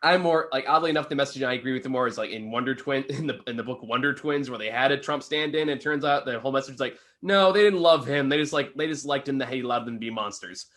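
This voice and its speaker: A man's voice: mid-range voice, fast, hardly any pauses, a bit bright. A man casually discussing a film with a co-host as a reviewer, opinionated and swearing freely.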